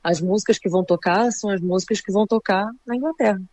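A woman's voice: mid-range voice, fast, a little mellow, dry recording. Brazilian woman, casual and close-sounding. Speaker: interviewee. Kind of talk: casual interview answers, personal reflection.

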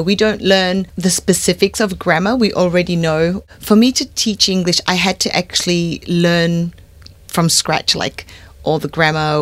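A woman's voice: fairly low pitch, no echo. A middle-aged woman with an Australian accent, a guest English teacher explaining her experience in a friendly way on a podcast. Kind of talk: podcast interview.

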